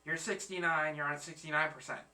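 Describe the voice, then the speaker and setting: A man's voice: a little high, steady tone, brisk, pushed and bright, far from the mic, poor audio. A man in his twenties hosting a reaction video, chatting casually and searching for his words. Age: 20s. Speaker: reaction channel host. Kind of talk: casual chat.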